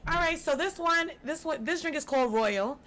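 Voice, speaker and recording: A woman's voice: sits high, animated, normal speed, fairly bright tone. A woman from Brooklyn, a podcast guest chatting casually and laid-back about her drinks business, with slangy asides. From Brooklyn, New York.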